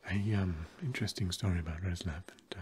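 A man playing a genteel, gossipy vampire housemate in an ASMR roleplay, with old-fashioned polite phrasing and a hesitant, breathy delivery. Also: low-pitched, fast, a little mellow, a little hiss or hum, close to an everyday voice. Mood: amused, gossipy. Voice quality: breathy, husky.

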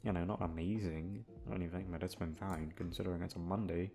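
A man's voice: sits low, fairly even intonation, fast talker, creaky, dark tone, faint music behind. A young man chatting casually and off the cuff to his listeners, rambling and a little self-conscious. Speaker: audio roleplay voice actor. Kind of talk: casual, unscripted outro chat.

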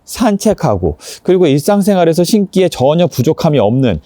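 Male review presenter: slightly high, very varied pitch, courteous and explanatory, casual demonstration style. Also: sing-song, fairly quick, warm tone, no room sound.

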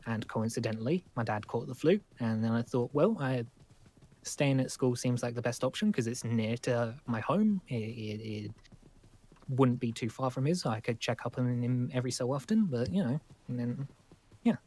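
A man's voice: mid-range voice, lively intonation, mellow, close to an everyday voice. Guy doing a jittery apologetic young survivor in a game roleplay, trips over his words, rambles on. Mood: rambling, eager. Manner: stammering, halting, over-explaining. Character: nervous, rambling, apologetic young male survivor living at school.

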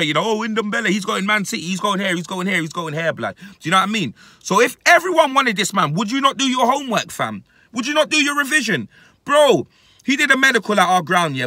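Bright, high-pitched London man ranting. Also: no room sound, clean studio sound. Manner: emphatic, repetitive street-slang delivery. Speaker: football fan reacting to his club. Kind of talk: animated casual rant to camera, heavy slang. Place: inside a parked car. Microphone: phone at arm's length.

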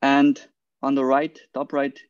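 A man's voice: medium pitch, monotone, a little slow. A young man from Nepal giving a slide talk over a video call, hesitant and repeating words, with "right?" tagged onto his phrases. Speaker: guest speaker, quantum engineer. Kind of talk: presenting slides in an online talk. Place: indoor room, video call. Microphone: computer mic on a video call. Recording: dull lo-fi video call audio.

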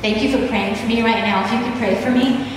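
A middle-aged woman leading a church women's gathering. She is chatty and keeps clearing her throat because she is losing her voice. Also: a little high, fairly even intonation, quick pace. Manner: warm, chatty, easily sidetracked, frequent throat clearing. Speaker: dance minister. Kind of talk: informal ministry talk to a women's gathering. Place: large wood-panelled hall or church, on a stage. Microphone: handheld mic, filmed from a distant camera. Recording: roomy hall echo, distant mic, noisy.

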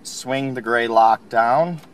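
A man calmly explaining, step by step, how to install a car part, recorded inside a car. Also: medium pitch, slow. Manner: calm, plain instructional. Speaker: installation instructor.

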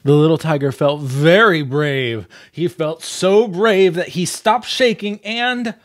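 A man's voice: on the high side, takes it slowly, no echo. A man in his thirties speaking as a vlog host and storyteller, earnest and emphatic, close to a desk microphone. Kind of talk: storybook reading and candid commentary. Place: small plain room indoors.

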